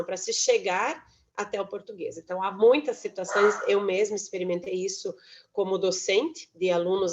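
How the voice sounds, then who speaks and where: Woman lecturer, mid pitch, measured academic talk, muffled call audio. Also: darkish tone. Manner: measured, frequent "né" tags and self-corrections. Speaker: university lecturer presenting research. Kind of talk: academic presentation. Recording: dull lo-fi online talk audio.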